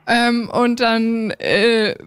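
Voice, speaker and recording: A woman's voice: fairly high pitch, drawn-out words, smooth. A woman in her twenties from Hamburg, casually telling a personal story as a radio show guest in a giggly, self-deprecating way. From Hamburg, Germany. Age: 20s.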